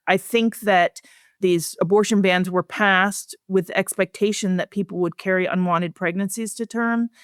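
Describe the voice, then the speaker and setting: A woman speaking as a guest researcher in a podcast interview, measured, earnest and articulate. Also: medium pitch, clear, resonant tone, dry recording, clean studio sound.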